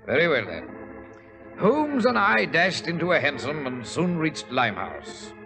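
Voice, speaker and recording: An actor playing a genial, fussy English gentleman with a British accent in a 1940s radio drama, hesitant and blustering in an old-fashioned polite way. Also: fairly high pitch, huge pitch swings, unhurried, vocal fry, music in the background, heavily acted, low-quality recording.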